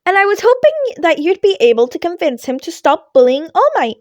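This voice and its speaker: A woman's voice: very high voice, very animated, brisk, darkish tone, dry recording, clean studio sound, voice pushed up. A woman voicing a small, cheerful animal school principal with a polite, coaxing manner in a fan fiction reading.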